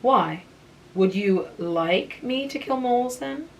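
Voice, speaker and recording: Brief: proud young woman, cool and slightly scornful, as a reader puts her on, unhurried. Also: fairly high pitch, animated, far from the mic, a little hiss or hum, pitched above the performer's own voice.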